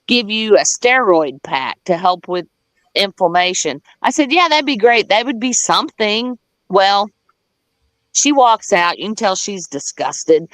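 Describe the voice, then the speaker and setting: A woman's voice: mid-range voice, dry recording. A woman tells a personal story in casual, candid talk, recorded dry and close with little room sound. She swears freely, drifts into side details and voices the people she quotes. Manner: candid, profane, rambling with digressions; acts out quoted dialogue.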